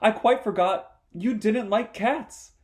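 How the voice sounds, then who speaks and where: A man's voice: sits high, takes it slowly, voice pushed up. A man voicing a polite, chatty, anxious little girl from a storybook, earnestly musing aloud. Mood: apologetic.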